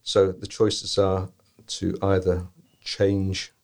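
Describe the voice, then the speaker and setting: A man talking as a podcast guest, giving measured, deliberate answers. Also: low voice, completely flat delivery, darkish tone. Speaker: tour company guest.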